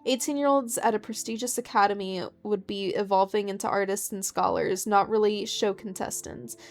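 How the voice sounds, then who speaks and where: A woman's voice: medium pitch, steady tone, normal speed. A woman hosting a gaming video, reading game text aloud and commenting in an informal, chatty way.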